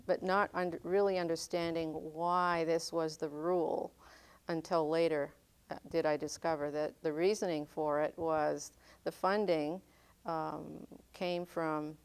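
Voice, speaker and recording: An older woman who grew up speaking French in northern Maine, recounting her family history in an unhurried, reflective way with frequent pauses. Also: sits low, a little mellow, clear, resonant tone. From northern Maine, United States. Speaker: interviewee. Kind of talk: oral history interview.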